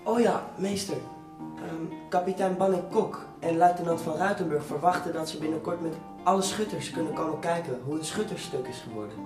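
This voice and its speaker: Boyish painter's apprentice male actor, high voice, eager and deferential, echoey room with music. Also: a little fast, natural voice. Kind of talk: Dutch historical radio drama acting.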